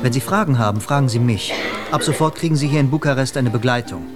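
An actor playing a stern, authoritarian Romanian security official in a radio drama, clipped and commanding. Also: male voice, mid-range voice, fast, close to an everyday voice. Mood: stern, threatening. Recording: music behind the voice. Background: prominent music.

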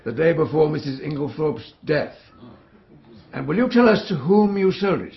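An actor with a British accent playing a stern, formal coroner questioning witnesses in a measured, probing way in a radio drama. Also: male voice, a little high, expressive, gravelly. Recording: dull, band-limited radio drama recording.

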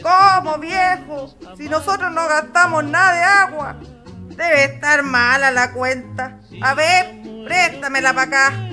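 A woman's voice: sits high, a little slow, bright, forceful tone, faint music behind. A woman voicing an indignant elderly Chilean wife in a radio drama, scolding and exclaiming. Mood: incredulous. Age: older.

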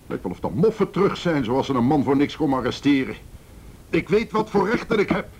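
A man's voice: fairly high pitch, sing-song, normal speed, a little mellow, slightly rough. An actor in a radio play playing a defiant, blustering businessman, belligerent and sneering, who stammers when cornered. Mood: indignant. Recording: faintly noisy radio drama recording.

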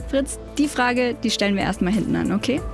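A woman's voice: on the high side, lively intonation, brisk, gravelly. A woman podcast host in a lightly scripted, conversational trailer. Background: faint music.

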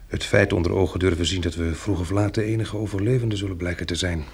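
An actor playing a calm, practical survivor in a radio drama, reasoning in a measured way and soothing like a tender protector. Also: male voice, low voice, creaky, slightly rough, heavily acted. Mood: matter-of-fact.

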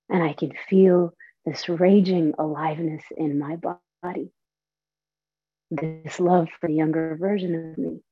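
Slow, earnest woman from Saudi Arabia. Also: low-pitched, breathy edge, no room sound. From Saudi Arabia and Palestine. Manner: earnest, slow, deliberate delivery. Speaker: storyteller and performer. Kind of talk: theatrical monologue and personal talk. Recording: dull, lo-fi audio.

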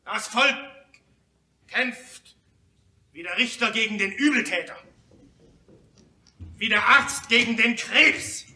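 An actor playing a fiery Commune delegate in a German radio play, declaiming emphatically in an echoing room. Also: male voice, very high voice, takes it slowly, long pauses, far from the mic, exaggerated voice. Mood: vehement, outraged. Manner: declamatory, emphatic, shouting outbursts. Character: fiery Commune delegate, impassioned revolutionary orator.

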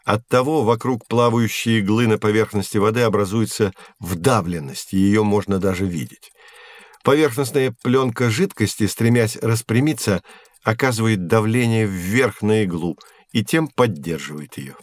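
A man playing a patient, genial science professor who explains in a measured, didactic way in a scripted educational audio drama. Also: fairly low pitch, dry recording, close to an everyday voice. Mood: explaining.

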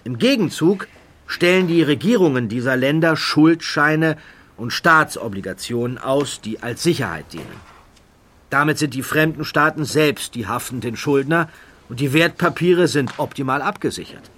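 A man's voice: a little high, expressive, normal speed, fairly bright tone, voice pushed up. A man voicing a persuasive, self-assured 1920s financier in an emphatic, declaiming manner, as part of a narrated story. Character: brilliant, persuasive 1920s financier pitching his scheme.

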